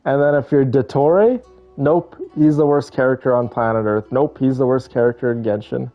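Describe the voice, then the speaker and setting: A man's voice: mid-range voice, normal speed. A man giving informal, opinionated reaction commentary while playing a game, in a conversational style. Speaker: game streamer. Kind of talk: casual reaction commentary over gameplay. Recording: slightly dull audio, game music behind.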